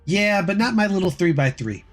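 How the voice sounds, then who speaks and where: A man chatting casually on a gameplay livestream, rambling through game steps, his voice dry and hoarse as if he is losing it. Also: high voice, expressive, moderate pace, soft background music. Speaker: game streamer.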